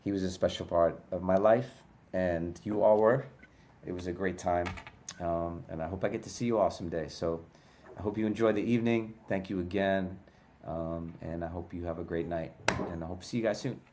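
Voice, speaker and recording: A man's voice: deep voice, steady tone, brisk, dark tone. A man with a New York accent giving a heartfelt recorded video greeting to his former castmates. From Brooklyn, New York. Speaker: former cast member sending a video message.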